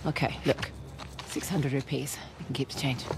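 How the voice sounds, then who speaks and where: A woman's voice: extremely low pitch, steady tone, moderate pace, background noise. A woman playing a wry, guarded adventurer in her thirties in a video game, with a dry, understated delivery. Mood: dry, teasing. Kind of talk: naturalistic cinematic video game acting. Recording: clear outdoor street ambience behind.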